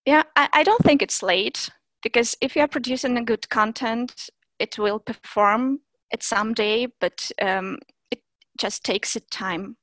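This is a woman whose first language is Russian, answering interview questions in English. She sits a little higher in pitch and keeps stopping on fillers and restarting, over a close, dry recording without room sound. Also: a little slow, a bit bright, no room sound. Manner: hesitant, many fillers and restarts. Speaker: German teacher and Instagram creator. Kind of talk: interview guest, casual conversation.

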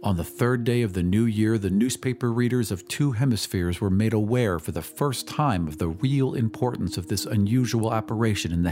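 A male narrator reads a story in a fairly low voice. His delivery is measured and solemn, shaped as dramatic scripted storytelling. Music plays clearly behind him throughout. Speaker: podcast host and narrator.

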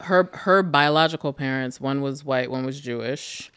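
A woman's voice: extremely low pitch, normal speed, a bit bright, smooth, dry recording. A woman hosting a podcast and interviewing a guest in a playful, teasing way, full of exclamations and asides. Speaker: podcast host. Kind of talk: casual conversational interview.

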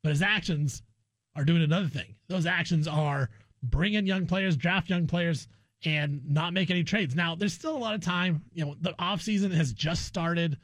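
Higher-pitched man hosting sports talk radio. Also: normal speed, no echo. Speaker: guest host of a sports radio show.

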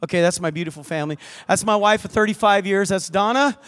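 An older man preaching a lively sermon in church, mixing scripture with jokes and stories. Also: high voice, fast talker. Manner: lively, joking preacher with asides to the crowd. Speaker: pastor. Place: church hall with balcony.

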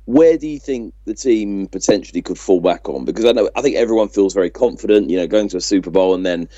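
Low, mellow British man, slightly muffled. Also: quick pace, no echo. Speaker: podcast host. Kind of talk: casual sports chat.

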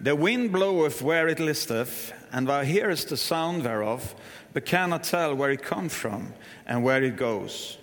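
An older man with a Swedish accent, preaching in short phrases as a guest preacher and pausing for an interpreter. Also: fairly high pitch, animated. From Sweden. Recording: reverberant church hall.

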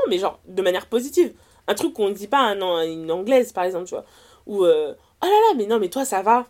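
Casting: young woman, French accent from France, mid-pitched voice, conversational interview guest, animated, slangy delivery that restarts and reworks its phrases. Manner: animated, slangy, often self-correcting. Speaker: interview guest, writer and columnist. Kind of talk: casual conversational testimony.

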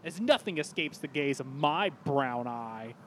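A man's voice: mid-range voice, animated, unhurried, a bit bright, a little hiss or hum. A man playing a pompous, prissy, vengeful figure at a school in a comedy cartoon, formal and indignant. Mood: menacing. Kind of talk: animated comedy voice acting.

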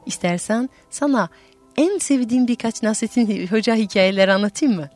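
A woman from France, a radio host narrating a folktale with expressive, dramatic pauses. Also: a little high, soft background music. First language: French.

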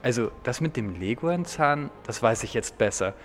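A man's voice: mid-range voice, expressive, moderate pace, in a large hall, faint music behind. An Austrian man co-hosting a podcast, talking through a book review in a thoughtful, slightly hesitant conversational way.

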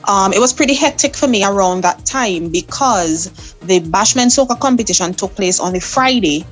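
Young Vincentian woman, soca artist guest, lively anecdotal delivery with easy laughs. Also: mid-range voice, normal speed, soft background music. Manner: lively storyteller, laughs often. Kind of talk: interview storytelling.

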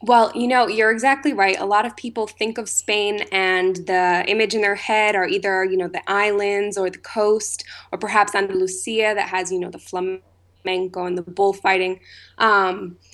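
A woman's voice: medium pitch, little pitch movement, normal speed, fairly bright tone. A woman from Massachusetts, a food tour founder answering interview questions in a chatty way, often using "you know" and "um". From Massachusetts, USA.

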